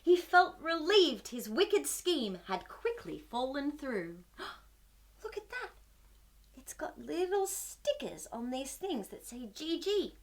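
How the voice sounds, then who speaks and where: Female children's storytime host: very lively pitch swings, unhurried delivery, exaggerated wonder for young listeners. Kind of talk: animated picture-book read-aloud for young children.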